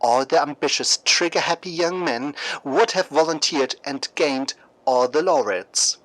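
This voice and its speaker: A radio show host and narrator reading a scripted story in a theatrical, deliberate way, like a dramatic newscast. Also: mid-range voice, expressive, fairly bright tone, rough recording.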